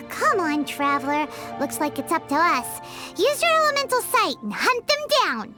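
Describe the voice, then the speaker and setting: A woman voicing a tiny, excitable and chatty girl companion in a video game, talking in bouncy, exclamatory bursts. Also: very high voice, a little slow, full character voice. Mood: exasperated. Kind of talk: game voice acting. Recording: game audio with loud music.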